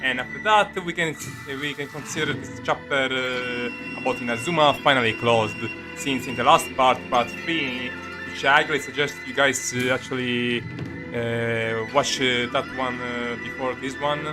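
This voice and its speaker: Halting Italian-accented man streaming over music. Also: mid-range voice, steady tone. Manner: halting, rambling, self-correcting delivery. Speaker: game livestreamer. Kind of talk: casual gaming stream commentary. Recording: music playing behind the voice.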